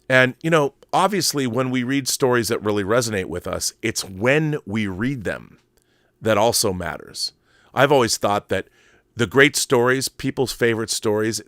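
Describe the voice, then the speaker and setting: A man's voice: mid-range voice, fairly bright tone, no echo, studio quality. A middle-aged man giving casual, rambling opinion commentary in an emphatic, conversational way, often digressing. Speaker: host of a film and book commentary show.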